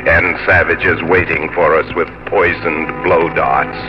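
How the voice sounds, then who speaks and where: A low-pitched gallant Elizabethan sea captain spins his tale with gruff, earnest declamation. The old radio drama sound is muffled, with prominent music behind him. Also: steady tone. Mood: solemn narrating. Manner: declamatory, measured storytelling. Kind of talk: 1950s radio drama acting, first-person narration.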